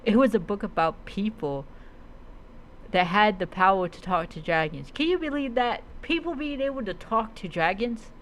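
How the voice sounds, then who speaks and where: A streamer narrating a fantasy adventure tale in a dramatic storytelling style, halting and often stumbling over and repeating words. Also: mid-range voice, lively intonation, a bit bright, slight background noise, low-quality recording. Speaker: streamer narrating own roleplay character's story.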